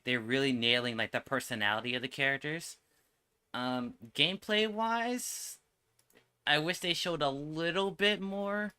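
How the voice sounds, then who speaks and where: A man's voice: medium pitch, bright, forceful tone, smooth. A man giving casual, opinionated reaction commentary as a streamer, with slangy, sweary speech. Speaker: streamer reacting to game trailers.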